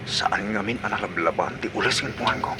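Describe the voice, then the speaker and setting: An actor playing a pushy, excitable young son and boyfriend in an Ilocano radio comedy drama, speaking with an Ilocano accent. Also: male voice, on the low side, a little fast, noisy background, lightly acted. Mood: coaxing. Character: pushy, excitable young adult son courting his girlfriend.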